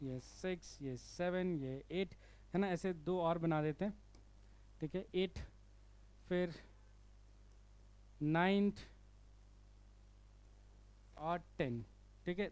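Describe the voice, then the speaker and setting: A man's voice: high voice, a little mellow. A man with an Indian accent teaching physics, working through a problem step by step and often checking in with the listener. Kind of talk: explanatory problem-solving lesson.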